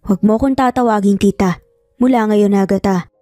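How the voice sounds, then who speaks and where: A woman's voice: medium pitch, fairly quick, warm tone, no room sound, studio quality, soft background music, close to an everyday voice. A woman voicing a cruel, rich older mother in a story, stern, sarcastic and commanding. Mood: strict, curt.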